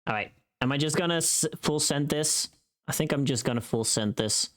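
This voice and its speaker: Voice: medium pitch, normal speed. A streamer chatting casually on a livestream in a joking, sweary manner. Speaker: streamer making a voice pack.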